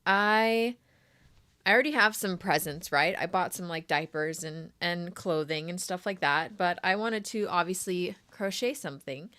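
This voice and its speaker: A young adult woman hosting a crochet live stream, chatty and friendly with viewers while sick with a sore throat, often clearing her throat. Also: on the low side, normal speed, pushed and bright. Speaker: crochet livestream host. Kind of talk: casual live stream chat.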